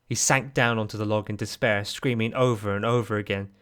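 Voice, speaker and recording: A man's voice: lowish voice, steady tone, fairly quick, fairly bright tone, clean tone. A man narrating a scripted third-person story for an audio drama.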